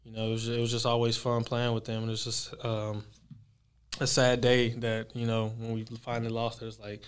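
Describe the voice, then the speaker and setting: A man's voice: mid-range voice, moderate pace, clear, resonant tone. A young man from Texas, a pro basketball player, chatting casually in an interview with lots of fillers. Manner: relaxed, rambling, many fillers.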